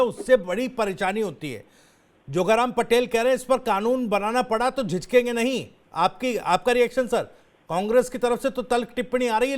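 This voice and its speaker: A man hosting and moderating a heated television news debate. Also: high voice. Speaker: TV debate host.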